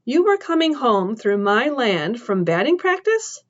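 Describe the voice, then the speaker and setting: A woman's voice: a little high, big pitch swings, measured pace, muffled recording. A woman voicing a tough, wary middle-aged farm woman with a faint sing-song accent, who questions sharply. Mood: interrogating.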